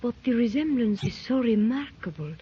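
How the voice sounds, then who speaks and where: Actress as an evasive young woman, breathy and hesitant, faint hiss on lo-fi old radio. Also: a little high, animated, normal speed, natural voice. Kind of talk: 1930s radio drama acting. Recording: dull lo-fi 1930s radio recording with faint hiss.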